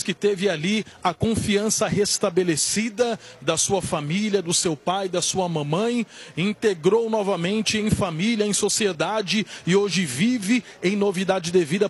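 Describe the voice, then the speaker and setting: High husky voiced church elder guy hyping up a service on live radio. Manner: fervent preaching cadence, emphatic lists. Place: church entrance.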